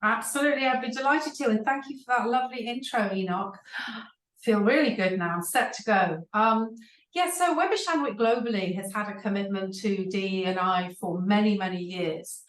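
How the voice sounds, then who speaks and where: A woman's voice: medium pitch, normal speed. A woman executive speaking as a panel guest, explaining her company's approach fluently with the odd "um". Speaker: chief people officer, panel guest. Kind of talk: conversational panel talk. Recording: echoey room, distant mic.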